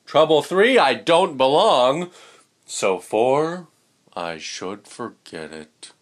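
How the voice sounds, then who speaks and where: A man voicing a gloomy, self-doubting young fish in a storybook, with drawn-out, dramatic complaints. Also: mid-range voice, sing-song. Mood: woeful.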